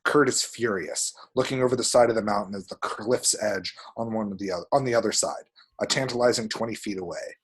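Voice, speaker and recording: A man with a husky voice narrating action descriptions at a screenplay table read. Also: fairly low pitch, a little fast.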